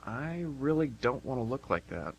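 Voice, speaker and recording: A man's voice: mid-range voice, big pitch swings, normal speed, vocal fry. A man playing a nervous, kindly, awkward young man on a date in an amateur radio play, hesitant and earnest. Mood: nervous, apologetic. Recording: hissy, humming amateur radio broadcast.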